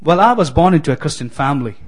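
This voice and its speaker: Keralan man preaching with many pauses. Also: a little high, lively intonation, breathy edge. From Kerala, India. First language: Malayalam. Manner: hesitant, many fillers and restarts, friendly. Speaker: guest preacher. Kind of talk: sermon.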